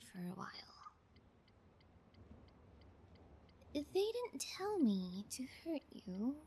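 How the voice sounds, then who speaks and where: A woman's voice: on the high side, mellow, slightly rough, full character voice. A woman playing a callous, playfully menacing kidnapper in an ASMR roleplay, talking in a taunting, nonchalant way. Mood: quietly menacing. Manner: taunting, nonchalant, slow and deliberate. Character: callous female yangire kidnapper, playfully menacing, bullying sociopath. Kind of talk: ASMR audio roleplay acting.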